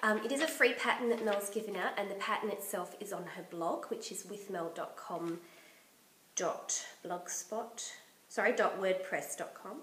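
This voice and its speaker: A woman hosting a knitting podcast in casual chat, her voice croaky from bronchitis, chatty and enthusiastic. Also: medium pitch, moderate pace, fairly bright tone, echoey room, a little hiss or hum, low-quality recording. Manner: chatty and enthusiastic, laughs often.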